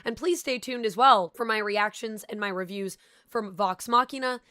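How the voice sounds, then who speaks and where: A woman's voice: medium pitch, moderate pace, bright, forceful tone, no room sound, clean studio sound. A young woman hosting a casual review vlog, talking in a chatty, enthusiastic way while a little sick, her voice slightly off. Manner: chatty, enthusiastic fan talk. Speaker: channel host reviewing a show.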